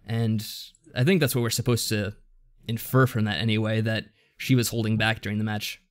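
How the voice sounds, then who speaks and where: A man's voice: fairly low pitch, steady tone, brisk. A man co-hosting a podcast, recapping things in a casual, hesitant, rambling way. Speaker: podcast co-host. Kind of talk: casual discussion recapping a visual novel.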